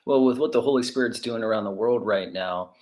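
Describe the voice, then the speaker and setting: A man's voice: lowish voice, brisk, darkish tone. A man in his forties hosting a faith interview, speaking emphatically with fillers and restarts. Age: 40s. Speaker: host. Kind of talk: conversational interview.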